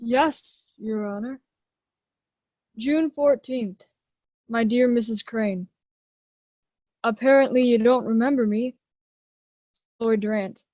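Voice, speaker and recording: A woman plays a deferential court clerk in a radio drama. Her voice is fairly high and she speaks slowly and hesitantly, with a dry sound. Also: dark tone, dry recording. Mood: reluctant reading. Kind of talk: radio play acting.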